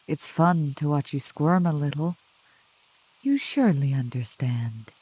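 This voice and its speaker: A woman voicing a gentle, teasing, possessive female demon of the woods in a soft, low ASMR roleplay, with tender, formal wording. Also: husky, dry recording, full character voice, poor audio. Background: rain.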